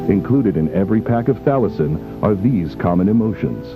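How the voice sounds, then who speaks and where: A man playing a formal, measured pharmaceutical advert announcer in an analog horror video. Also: lowish voice, animated, natural voice. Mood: clinical sales pitch. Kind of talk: scripted infomercial voice-over, analog horror. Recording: muffled lo-fi tape, music behind. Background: clear background music.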